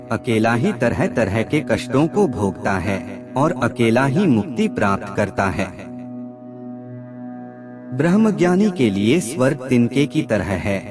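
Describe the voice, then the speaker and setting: A man narrating scripted aphorisms in a measured, deliberate recitation. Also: mid-range voice.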